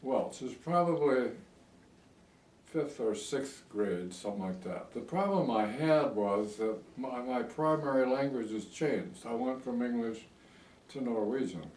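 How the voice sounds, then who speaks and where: A man in his eighties with an American accent, reminiscing in a halting, rambling way in a casual interview. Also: medium pitch, lively intonation, darkish tone, a little hiss or hum. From United States. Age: 80s. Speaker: oral history interviewee. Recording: echoey room, distant microphone.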